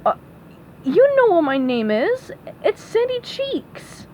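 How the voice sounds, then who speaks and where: Woman voicing a high, bossy cartoon squirrel gal. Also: sing-song, takes it slowly, a little mellow, full character voice. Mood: disbelieving. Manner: emphatic, no-nonsense. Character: bossy, exasperated female cartoon squirrel from Texas. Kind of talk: read-aloud children's cartoon storybook. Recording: dull, noisy recording.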